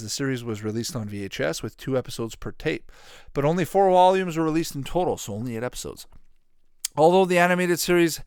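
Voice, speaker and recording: Male podcast host, thirties, mid-pitched. Informal, loose, repetitive solo commentary, recorded dry with no room sound. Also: clean tone, no room sound. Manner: rambling, repetitive, informal with swearing. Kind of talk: casual solo commentary on retro cartoons.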